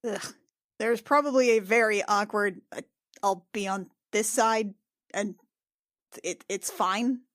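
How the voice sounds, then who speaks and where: A woman's voice: mid-range voice, slow pace, long pauses. A woman playing a tabletop roleplaying game, chatting casually with the group.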